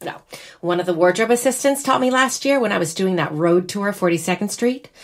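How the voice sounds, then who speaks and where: A woman's voice: mid-range voice, fairly quick, natural voice. A woman playing a wry, wistful young American bride in a naturalistic audio drama. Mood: wry, chatty.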